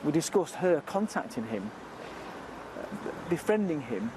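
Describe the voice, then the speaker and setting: A man in his fifties with a northern English accent, haltingly recalling the past in an interview. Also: a little high, big pitch swings. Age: 50s. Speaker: interviewee, relative of a victim. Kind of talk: interview recollection. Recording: noisy outdoor location with traffic hum.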